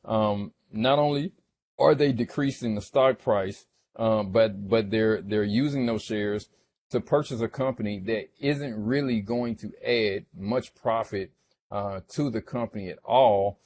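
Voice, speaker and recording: A man giving an informal, explanatory financial presentation in a halting manner, often checking in with the listener. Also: lowish voice, darkish tone. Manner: halting, checks in with "right?" and "okay?". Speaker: investment presenter. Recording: clearly noisy background ambience.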